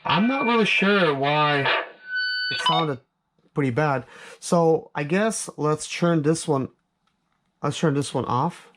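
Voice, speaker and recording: A man's voice: on the high side, wildly swooping pitch, slow, pauses often, music in the background. A man giving casual, rambling hands-on commentary as he tests a product, thinking aloud as he goes. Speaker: product reviewer. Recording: noisy recording with clicks.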